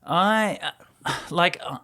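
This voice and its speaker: A man's voice: high-pitched, slow, bright, forceful tone, clean tone. A man hosting a show, retelling and commenting on a story in a casual, informal, explanatory way. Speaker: host retelling a film script.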